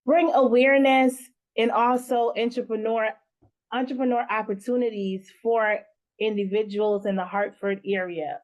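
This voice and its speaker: A woman from Guyana, a guest founder, talking in an earnest interview manner with pauses and fillers. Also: medium pitch, highs cut off. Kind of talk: casual interview talk.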